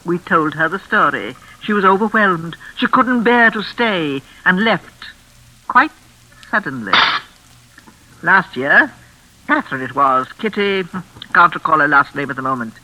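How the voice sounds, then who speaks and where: Casting: unhinged older lady of the manor, honeyed menace, swooping delivery, sharp bright tone, crackly old radio drama. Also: fairly low pitch, expressive. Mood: bitter, unhinged. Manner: theatrical, honeyed and insistent, with deliberate repetition. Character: menacing, unhinged older mistress of a crumbling hall. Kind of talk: classic radio suspense acting.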